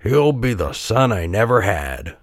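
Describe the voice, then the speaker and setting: A man's voice: on the low side, sing-song, measured pace, no echo, studio quality. A man voicing a kindly, fretful carpenter father in a storybook, fond and earnest. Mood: musing.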